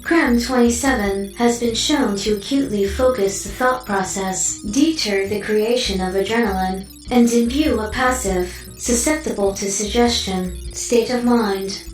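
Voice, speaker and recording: A woman's voice: medium pitch, close to an everyday voice. Echoey, impassive female computer assistant, acted by a woman. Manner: measured, impassive, formal. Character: calm, clinical female computer assistant reciting data. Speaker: voice actor in an audio drama. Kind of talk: scripted audio drama. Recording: echoey, distant sound over faint music.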